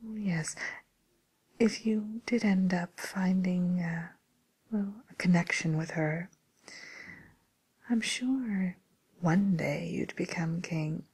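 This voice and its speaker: A polite, tactful matchmaker at a fairy-tale villains' dating service, played by a woman in an ASMR role play. She speaks in a very breathy whisper, slowly and fairly low for a woman. Her phrasing is diplomatic and hesitant, with gentle pauses. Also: exaggerated voice. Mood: tactful, gently amused.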